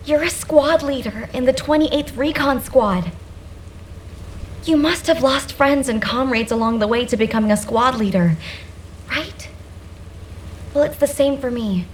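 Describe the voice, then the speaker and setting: An earnest female veteran soldier in a science fiction game. Her voice is high, her manner steady and sincere, with faint noise behind. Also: a little slow, long pauses. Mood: firm, sincere.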